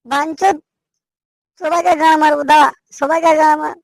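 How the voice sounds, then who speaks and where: Woman doing a mouthy young cartoon guy, high voice, always griping and cussing. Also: steady tone, brisk, dry recording, poor audio. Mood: angry complaining. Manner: brash, swearing, complaining. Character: foul-mouthed, resentful young male slacker worker with big threats. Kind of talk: Bengali comedy cartoon dub.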